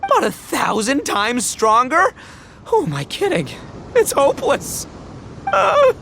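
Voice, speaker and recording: A bombastic, excitable young man from a video game. His delivery leaps widely in pitch, swinging between solemn earnestness and big dramatic outbursts. There is faint ambient noise behind him. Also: takes it slowly, full character voice. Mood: incredulous despair. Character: boisterous, theatrical young man, earnest beetle-battling enthusiast. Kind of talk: animated video game acting.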